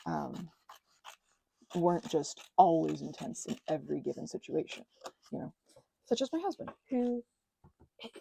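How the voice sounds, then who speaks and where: Casting: woman, mellow husky voice, very animated pitch, halting intimate crafting-vlog ramble, reflective and self-interrupting. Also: rough recording. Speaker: craft vlogger and ASMR creator. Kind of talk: rambling, intimate casual chat while crafting.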